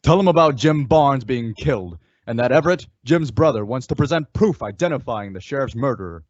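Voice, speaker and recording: An actor playing a calm, resolute western hero in an old-time radio style, measured and instructive. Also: male voice, medium pitch, no echo, muffled recording, close to an everyday voice.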